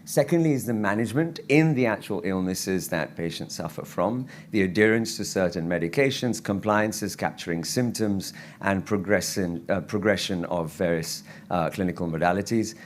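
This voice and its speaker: A man speaking as a physician at a conference lecture, his voice croaky from travel, earnest and given to restarts and fillers. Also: low voice, normal speed, hardly any pauses. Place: conference hall.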